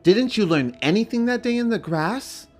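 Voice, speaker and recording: A man voicing a proud young noblewoman in a dramatic story reading, imperious and deliberate. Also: sits high, animated, a little mellow, faint music behind, pitched above the performer's own voice. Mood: angry, commanding. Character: proud young noblewoman, a queen finding her confidence.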